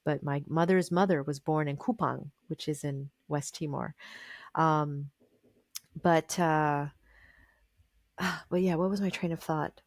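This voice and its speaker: A woman with a fairly low voice talks at length as a guest on an interview show. She wanders through her thoughts, stops herself and starts again, and often leaves pauses filled with "um". The recording is close and dry, with no room sound. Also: steady tone, no echo. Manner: thoughtful, meandering, with many fillers and restarts. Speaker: guest musician. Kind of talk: casual interview conversation.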